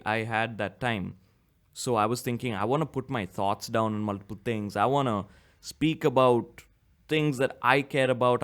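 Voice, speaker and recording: A man's voice: mid-range voice, clear, resonant tone. Young man hosting his own podcast. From India. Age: 20s. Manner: rambling, self-correcting, chuckles at himself. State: slightly sick with a cough. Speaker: podcast host. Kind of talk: casual solo podcast talk.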